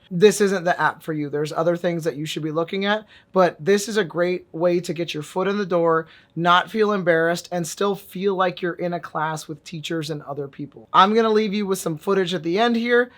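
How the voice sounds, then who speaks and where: Guy doing a chill vr app review vlog, dry jokes, random loud bursts. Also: sits high. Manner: wry, joking, with sudden emphatic bursts. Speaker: VR fitness and language vlogger.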